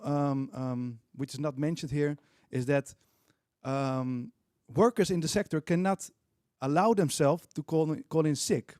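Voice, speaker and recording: A man, a union organizer speaking on a panel in an earnest, halting way with many fillers. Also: fairly high pitch, darkish tone, no room sound, clean studio sound. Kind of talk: panel talk.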